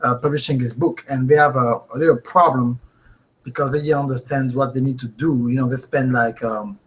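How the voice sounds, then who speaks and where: A man's voice: medium pitch, fairly quick, low-quality recording. French-accented man teaching a live webinar. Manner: earnest, animated, repeats "okay?" to viewers. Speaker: host. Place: home office with bookshelf. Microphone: webcam.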